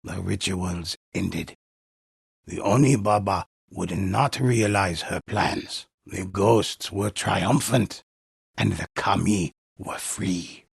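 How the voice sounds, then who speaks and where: Deep, gravelly old storyteller. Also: male voice, no room sound, clean studio sound, heavily acted. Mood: solemn. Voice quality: gravelly, husky. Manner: slow, solemn, measured, ominous. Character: grave old male storyteller of samurai ghost legends. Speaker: narrator. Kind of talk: dramatic scripted storytelling for a video game.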